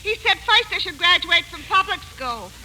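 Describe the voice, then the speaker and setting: An actress playing a brassy, deadpan twenty-year-old fan club president with a flippant, drawling manner in a 1940s radio comedy. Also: female voice, extremely high pitch, moderate pace. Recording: bright, lightly noisy 1940s radio recording.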